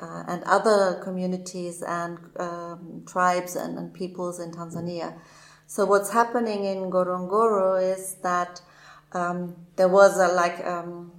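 Low-voiced woman in an echoey room. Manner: measured, explanatory, with frequent fillers. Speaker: guest. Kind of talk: interview explanation.

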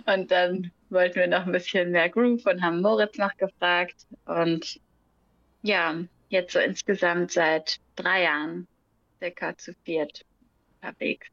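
A young woman, a singer who is a guest, talking casually in an interview, relaxed, often laughing and searching for words. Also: lowish voice, a little slow, no echo, highs cut off.

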